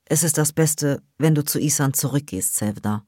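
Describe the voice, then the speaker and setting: An evasive older father read by a woman narrator in an audiobook. He is curt and resigned, avoiding discussion. The voice is low and the sound is dry. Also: moderate pace, warm tone, husky, no room sound. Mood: resigned, final. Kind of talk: audiobook dialogue.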